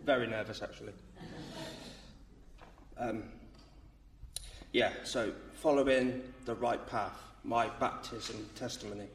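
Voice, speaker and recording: Man, thirties, nervous halting reading, echoey hall, distant mic. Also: on the low side, fairly even intonation, slight background noise. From England. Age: 30s. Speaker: baptism candidate. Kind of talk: reading a testimony aloud. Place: church. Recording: roomy church sound from off mic.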